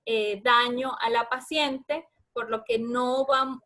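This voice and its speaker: Venezuelan andes lady doctor, pretty high voice, doing informal webinar q&a, chatty explaining. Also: steady tone, slow pace, bright, forceful tone. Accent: Venezuelan Andean. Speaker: guest lecturer, obstetrics doctor. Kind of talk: informal webinar question-and-answer.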